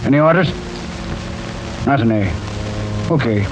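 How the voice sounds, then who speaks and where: An actor playing a brisk, suspicious American beat policeman with a clipped, commanding delivery in 1940s radio drama style. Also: medium pitch, animated, noisy background. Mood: businesslike. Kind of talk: 1940s radio mystery acting. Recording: vintage radio recording with music behind.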